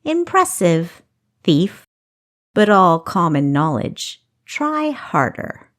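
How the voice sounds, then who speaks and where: A woman's voice: lowish voice, big pitch swings, slow pace. A woman voicing a defiant, witty female thief in an audiobook, scoffing and playfully sarcastic. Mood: playful challenge. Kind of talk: audiobook character acting.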